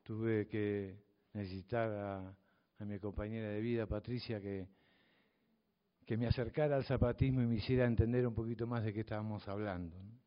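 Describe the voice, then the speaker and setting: A man's voice: on the low side, fairly even intonation, moderate pace. An older man from the Southern Cone with a Southern Cone Spanish accent gives a reflective, provocative public talk to an audience as a mathematics teacher. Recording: dull audio from a reverberant hall.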